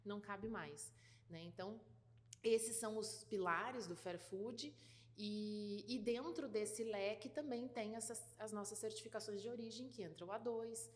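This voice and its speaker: A woman from southern Brazil with a light gaúcho accent, giving interview answers about her work and pausing often with fillers and restarts. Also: mid-range voice, big-room sound. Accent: light gaúcho Brazilian Portuguese. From Rio Grande do Sul, Brazil. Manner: thoughtful, many fillers and restarts. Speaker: guest, food certification business partner.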